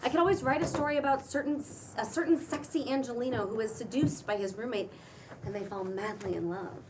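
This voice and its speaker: A woman acts out a self-pitying, defensive female writer in a comedy-drama table read. Her voice is fairly high and conversational. It is recorded at some distance from the mic, with faint room noise. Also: brisk, close to an everyday voice. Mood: wry. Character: Janie, mopey, defensive broke female writer, freshly dumped. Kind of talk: casual cold read of comedy-drama script.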